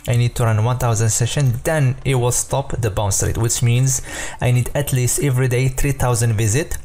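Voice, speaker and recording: Mellow-voiced man explaining software casually. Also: mid-range voice, fairly even intonation, moderate pace. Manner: loose and informal, many fillers and self-corrections. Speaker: software tutorial presenter. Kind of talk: casual screen-recorded tutorial.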